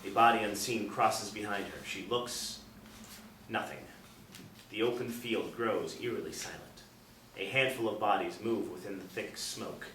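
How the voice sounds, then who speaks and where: A man narrating stage directions at a screenplay table read in measured, dramatic storytelling phrases. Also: fairly low pitch, fairly even intonation, a bit bright. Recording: echoey room, distant, faint noise.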